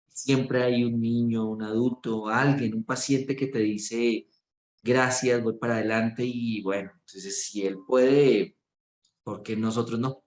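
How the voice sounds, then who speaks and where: A middle-aged man with a Bogotá Spanish accent talks in an earnest, reflective way, often pausing on fillers. His voice sits at an ordinary middle pitch. The room around him rings with a noticeable echo. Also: darkish tone. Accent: Colombian Spanish (Bogotá). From Colombia. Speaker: physician and research director. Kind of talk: promotional interview monologue. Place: clinic. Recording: echoey room.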